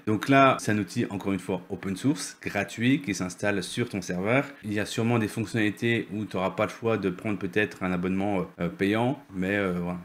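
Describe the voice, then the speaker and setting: A man with a fairly low voice hosts an informal tutorial video. He talks off the cuff as he walks through things, hesitating, repeating words and often checking that the listener follows. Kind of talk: casual software tutorial with screen share.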